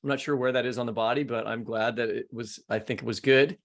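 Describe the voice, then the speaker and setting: A man's voice: medium pitch, steady tone, quick pace, gravelly. An American man co-hosting a podcast, moving between scripted introductions and informal, joking conversation. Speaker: podcast co-host.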